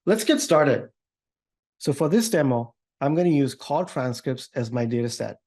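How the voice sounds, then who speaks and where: A man giving an informal, upbeat software demo walkthrough as a developer advocate. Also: medium pitch, animated, normal speed. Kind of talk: tech demo walkthrough, semi-scripted.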